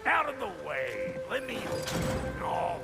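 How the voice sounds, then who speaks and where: An actor playing a boisterous, cranky, proud dwarf blacksmith in a video game, with a gravelly voice and folksy, irreverent talk. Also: male voice, high-pitched, wildly swooping pitch, slow, loud music behind the voice, exaggerated voice. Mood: grumbling. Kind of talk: video game character acting.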